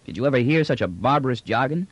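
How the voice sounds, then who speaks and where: A man's voice: medium pitch, expressive, fast talker, no echo. Man playing a formal, commanding imperial general. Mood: dry, satisfied. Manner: formal, precise, smoothly authoritative. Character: commanding, confident male imperial general, coolly menacing. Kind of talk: audiobook character dialogue.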